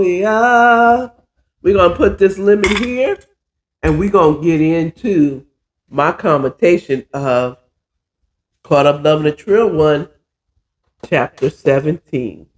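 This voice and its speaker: Hoarse, stuffy-voiced man chatting slowly. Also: sits high, slight background noise. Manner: chatty, drawling, says "child" a lot. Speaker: podcast host. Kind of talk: casual cooking chat.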